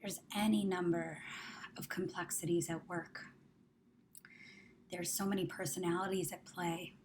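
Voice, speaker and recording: A woman guides a meditation in a fairly low voice at a very slow pace. Her delivery is soothing and measured, with phrase endings softening toward a whisper. Ambient noise sits faintly but noticeably behind her. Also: flat delivery. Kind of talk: guided meditation, spoken to the listener. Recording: clear background ambient noise.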